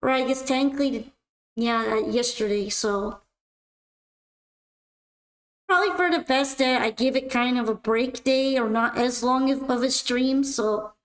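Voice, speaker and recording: A young woman streamer chatting casually while gaming, her voice a little raspy and worn out. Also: high voice, measured pace, pauses often, echoey room, poor audio. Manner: chatty, rambling, upbeat sign-off. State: slightly raspy, tired voice. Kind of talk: casual gameplay stream chat.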